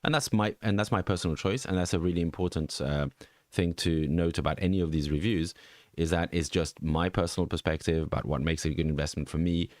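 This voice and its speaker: A man's voice: low voice, a little fast, dry recording. A middle-aged man from French-speaking Switzerland with a Swiss French accent, hosting a casual market commentary and thinking aloud with frequent fillers and restarts. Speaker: NFT market analyst host. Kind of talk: casual screen-share commentary.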